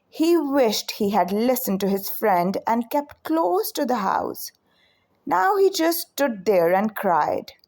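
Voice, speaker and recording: A female storyteller reads to children with a slightly raised pitch and very lively intonation. Her delivery is expressive and unhurried, giving each phrase room to land. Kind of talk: children's read-aloud narration.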